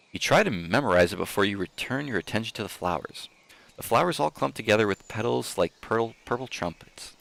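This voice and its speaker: A man reading a story aloud in a theatrical, expressive manner, with casual asides, like a streamer hosting for an audience. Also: fairly low pitch, dry recording.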